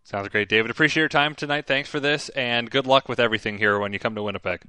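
A man's voice: mid-range voice, fast, pushed and bright, smooth. A man hosting a radio sports show, asking questions in a conversational way and signing off the show. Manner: conversational, upbeat sign-off.

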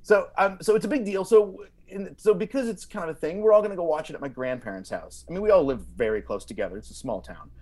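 American guy on a podcast, kinda high voice, super up and down, rambly comic storyteller. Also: quick pace, few pauses. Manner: animated, digressive, acts out quotes.

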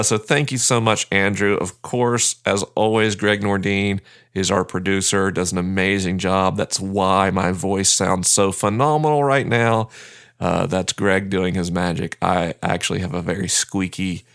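A man's voice: on the low side, gravelly, a bit bright. A man hosting his own podcast, chatting upbeat announcements to listeners with self-deprecating jokes, recorded on a studio mic. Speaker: podcast host. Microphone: studio microphone.

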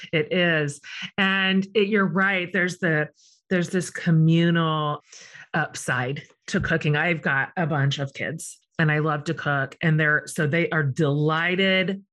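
A woman's voice: low-pitched, fairly bright tone. A woman hosting a casual interview, enthusiastic and affirming. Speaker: host.

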